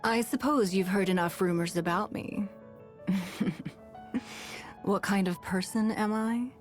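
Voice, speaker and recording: A woman's voice: mid-range voice, takes it slowly, lightly acted. A woman playing a poised, shrewd and wealthy trade magnate in a video game, speaking in a composed, imperious, formal way. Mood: assured, inviting. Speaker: game character voice. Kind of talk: scripted video game voice lines. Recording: music behind the voice.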